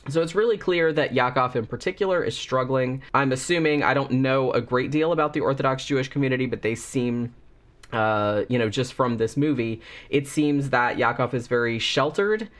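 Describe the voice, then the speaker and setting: A man's voice: medium pitch, normal speed, a bit bright. Man with a cold reviewing a horror movie. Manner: rambling and chatty, many fillers. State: has a cold, slightly congested. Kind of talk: casual spoken movie review.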